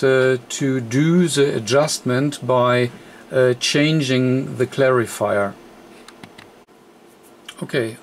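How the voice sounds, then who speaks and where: Slow, halting man giving repair tutorial. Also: mid-range voice. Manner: halting, hesitant, frequent long hesitations. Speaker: radio repair technician demonstrating. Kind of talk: unscripted hands-on repair tutorial. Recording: faint background noise.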